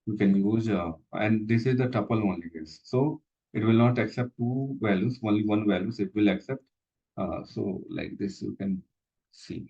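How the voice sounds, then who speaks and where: A man teaching programming, pausing often and repeating phrases with many fillers. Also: lowish voice, vocal fry, dark tone, breathy edge, highs cut off. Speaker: instructor. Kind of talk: live coding lesson walkthrough.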